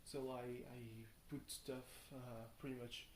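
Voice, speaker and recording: Slow, tired young man rambling in echoey room. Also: medium pitch, flat delivery. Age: young adult. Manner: halting, thinks aloud, self-questioning, chuckles at himself. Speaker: video blogger. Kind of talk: casual rambling video diary. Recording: roomy echo, faint background noise.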